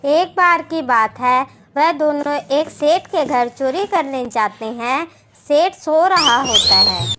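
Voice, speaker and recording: A woman's voice: sits high, lively intonation, slow, hardly any pauses, bright, forceful tone, a little hiss or hum. A woman storyteller reading a scripted Hindi moral story as narration. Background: background music.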